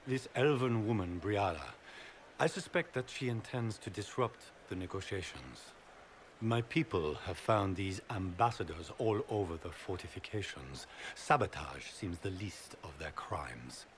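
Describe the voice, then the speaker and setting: An actor playing a proud, scheming noble duke in a video game, with formal, courtly, theatrical speech. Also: male voice, deep voice, animated, unhurried, slight background noise. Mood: grave, conspiratorial.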